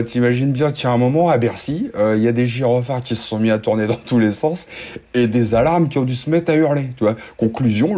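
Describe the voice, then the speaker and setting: A man's voice: medium pitch, dark tone. A man hosting a motorcycle news video, chatting casually with sardonic jokes, gloomy asides and lots of fillers. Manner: sardonic, joking, gloomy gravedigger tone.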